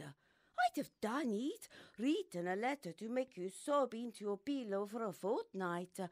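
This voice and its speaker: Theatrical glamorous star, very lively. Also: female voice, medium pitch, no room sound, clean studio sound. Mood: breezy, amused. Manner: theatrical, knowing, patronising. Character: glamorous famous actress, worldly, smooth-talking, gently condescending woman. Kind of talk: radio play acting.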